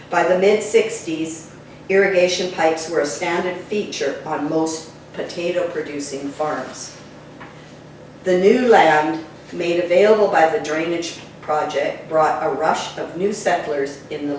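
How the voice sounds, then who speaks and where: A woman with a fairly low voice narrates local history from a written text. Her phrasing is measured and deliberate. The recording is very echoey and sounds far from the microphone. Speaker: reader of a local history book. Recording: very echoey room, distant mic, faint noise.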